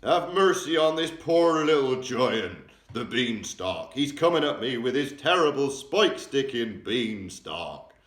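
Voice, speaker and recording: A man's voice: medium pitch, very animated, fairly bright tone, pitched above the performer's own voice. A man voicing a huge child-eating male giant who screams and begs in his sleep, drawn out and bellowing. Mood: pleading. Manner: bellowing, screeching, drawn-out pleading. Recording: roomy echo, distant mic.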